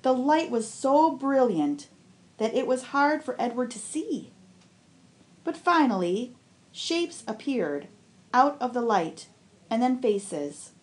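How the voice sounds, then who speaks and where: A woman on a school's staff reading a children's novel aloud, with expressive storybook narration. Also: a little high.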